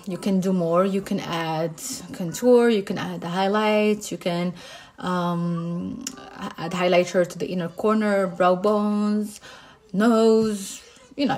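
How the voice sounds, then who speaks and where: Moroccan-accented woman, lowish voice. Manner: relaxed, explains each step while applying makeup. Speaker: makeup artist. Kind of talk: casual makeup tutorial.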